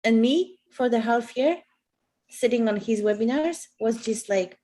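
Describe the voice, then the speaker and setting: Woman with a mellow voice. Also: a little high, unhurried. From Poland. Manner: chatty, with many "like" and "okay" fillers. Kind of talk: casual live-stream chat.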